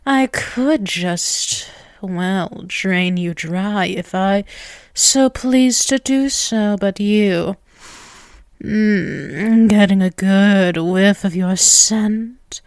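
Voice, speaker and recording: A woman's voice: medium pitch, dry recording, studio quality. A woman voicing a dominant, ageless vampiress in an ASMR roleplay, teasing and condescending, drawing out her words. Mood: teasing, predatory. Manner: slow, condescending, teasing, with drawn-out words and chuckles. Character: dominant, taunting ageless vampiress toying with a captive human.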